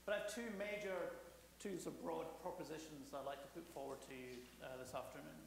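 Fast-talking lecturer, slightly hoarse, echoey hall. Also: male voice, on the high side, fairly even intonation. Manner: fast, fluent, frequent restarts. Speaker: guest speaker. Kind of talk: public lecture. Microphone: podium microphone. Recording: echoey hall with faint hum.

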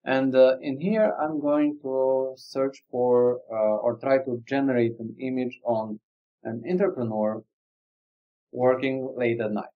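A man whose native language is Albanian gives a relaxed screen-recorded software demo, thinking aloud with frequent fillers. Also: mid-range voice, a little slow, narrow, tinny audio. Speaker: software company presenter. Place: indoor room, webcam corner.

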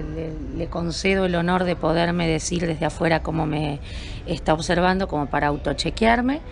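A woman from Argentina answering interview questions at an event, speaking in a measured, self-observing and wordy way. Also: on the low side, vocal fry. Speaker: event attendee being interviewed.

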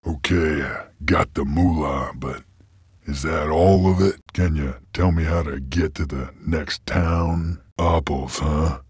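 An actor playing a gruff, laconic creature bounty hunter in a video game, with a gravelly voice and terse, folksy cowboy drawl. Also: male voice, rumbling low, fairly even intonation, slow, no room sound, studio quality, exaggerated voice. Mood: gruff, matter-of-fact. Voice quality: gravelly, husky. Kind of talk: video game character voice lines.

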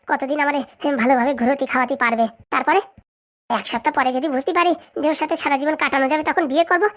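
A woman acts out a cunning young woman in a comedy cartoon. She is high-voiced and talks at a furious pace. Her manner is brash, demanding and quick to scold. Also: close to an everyday voice. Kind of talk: comic cartoon dialogue.

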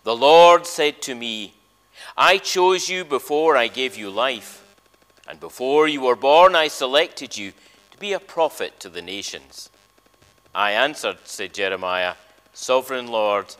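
A male minister speaking in a measured, solemn way with emphasis, addressing a congregation and reading scripture in a church service. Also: mid-range voice, sing-song, fairly bright tone. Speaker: minister leading worship. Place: large church interior. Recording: long church reverb.

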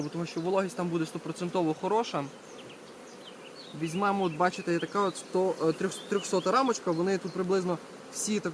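A man giving a casual hands-on demonstration as a beekeeper, talking to viewers while he works and repeating short phrases. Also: high-pitched. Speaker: beekeeper presenting a video. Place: outdoors at an apiary. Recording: outdoor recording with buzzing bee noise. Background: buzzing bees and insects.

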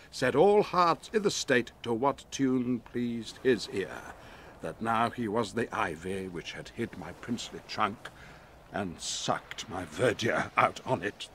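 A man playing a commanding, fatherly exiled duke and magician in a Shakespearean audio drama, emphatic and moving between hushed and loud. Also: mid-range voice, husky.

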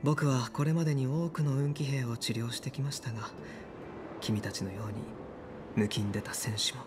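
Man as composed game doctor, courteous, formal phrasing, medium pitch, music playing behind. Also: steady tone, moderate pace, lightly acted. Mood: calm, courteous. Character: polite, composed adult male military doctor. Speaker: doctor character in a video game.

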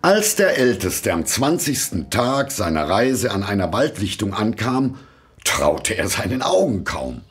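Male storyteller, unhurried, expressive wide-ranging intonation, scripted fairy-tale narration, faint music behind. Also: on the low side, breathy edge.

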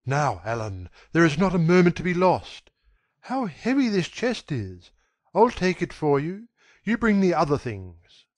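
Male narrator as young gentleman, raised pitch, commanding, brisk, nervy, close dry sound, storybook drama. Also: no echo. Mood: urgent, authoritative. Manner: brisk, authoritative, calm surface. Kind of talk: dramatic audiobook reading.

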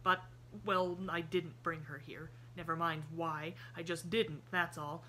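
A woman reading aloud plays a lonely, earnest gentleman who pleads from the heart. The voice stays fairly low and bright, hardly changed from the reader's own. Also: steady tone, unhurried, a little hiss or hum. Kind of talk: audiobook character voice.

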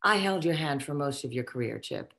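Low, haughty ghost socialite, swooping delivery. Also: female voice, lively intonation, fairly quick, vocal fry, fairly bright tone, heavily acted. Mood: admonishing. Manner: theatrical, imperious, condescending. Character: haughty, foul-mouthed ghost of a dead socialite boss. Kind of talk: virtual table read, comic stage acting. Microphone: video call.

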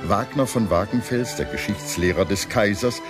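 Deep-voiced, expressive male documentary narrator. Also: moderate pace. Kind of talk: scripted documentary narration with dramatized quotes. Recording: music under the voice. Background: background music.

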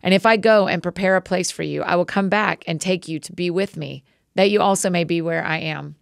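A woman reading the words of a calm, authoritative male teacher in a measured, solemn way. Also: fairly low pitch, gravelly, no room sound, clean studio sound.

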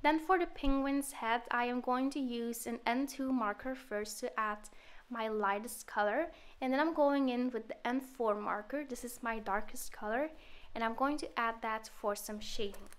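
A woman with a cold talks through a card-making project, her voice sitting a touch high. She explains each step in a relaxed way, with the odd "um" along the way. Her throat sounds slightly sore. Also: moderate pace, clear, resonant tone. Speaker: card-making tutorial host. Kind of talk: voice-over craft tutorial.

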